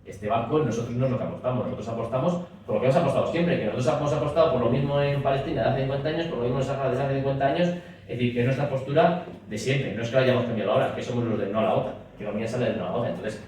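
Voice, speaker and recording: A man speaking at a political meeting, pushing his points rapidly and looping back with restatements, recorded in a meeting room. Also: medium pitch, a little mellow. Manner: rapid, talks in restarts with frequent "es decir". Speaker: speaker at a party talk. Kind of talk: public talk and Q&A. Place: meeting room at a party office. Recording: roomy echo, distant mic, faint hiss.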